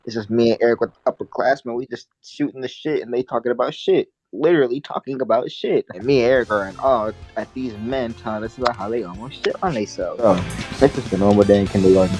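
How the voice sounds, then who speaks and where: A young man telling a casual story to friends in an animated, slangy, profane way, acting out the dialogue. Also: mid-range voice, fast, breathy edge, poor audio. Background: video game music.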